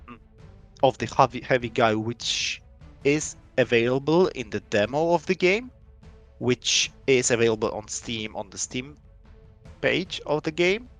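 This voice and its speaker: A young Polish man talks through his indie game on a live stream, English not his first language, heavy with fillers and restarts. His voice sits in an ordinary middle pitch for a man. Faint music plays softly in the background. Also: animated, unhurried. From Poland. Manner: rambling, lots of fillers and restarts.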